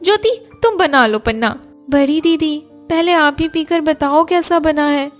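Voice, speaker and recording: A very high, expressive female voice put on by a narrator plays an eager young Indian daughter-in-law. She chats inventively in family cartoon style over a music bed. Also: a little slow. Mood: playful. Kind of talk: Hindi family cartoon dialogue.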